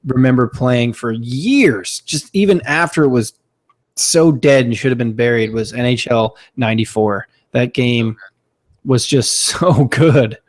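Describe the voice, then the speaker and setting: Man from Florida, thirties, co-host, chatty, reminiscing, mid-pitched voice. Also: measured pace. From Florida, USA. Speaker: podcast co-host. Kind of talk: casual chat.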